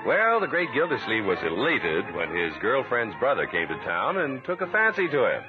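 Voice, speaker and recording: A man with a husky voice announcing in a 1950s radio style, with an emphatic salesman's delivery. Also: mid-range voice, huge pitch swings, moderate pace, loud music behind the voice. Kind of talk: 1950s radio commercial and scripted narration. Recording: tinny old radio recording.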